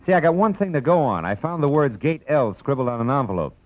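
A man's voice: mid-range voice, animated, fast talker, dry recording, lightly acted. A man with an American accent playing a tough, weary, wisecracking private detective in a mid-century radio noir drama. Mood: urgent. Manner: wry, clipped, hardboiled. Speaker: lead actor and narrator. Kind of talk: 1940s-50s radio noir acting, hardboiled narration. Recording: old muffled radio recording with music behind.

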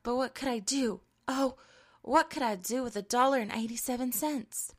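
A woman voicing an anxious young wife who talks in a high, eager, pleading way. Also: moderate pace, natural voice. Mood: fretful.

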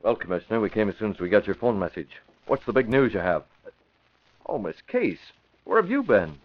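An actor playing a composed, wry young newspaper publisher in an old radio drama. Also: male voice, lowish voice, very animated, brisk. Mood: composed, concerned. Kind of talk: 1950s radio drama acting. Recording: dull vintage radio broadcast.

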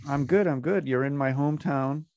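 A man hosting a podcast, raspy while getting over a cold, speaking in a relaxed, upbeat way. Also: on the high side, brisk, no echo, highs cut off. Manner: relaxed, upbeat, loose with fillers. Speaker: podcast host. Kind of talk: casual podcast interview.

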